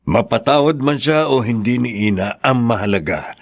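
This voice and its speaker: Ageing father, radio drama: resigned and earnest, expressive melody, close dry recording. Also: medium pitch, moderate pace, creaky, no echo. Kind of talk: Tagalog radio drama acting.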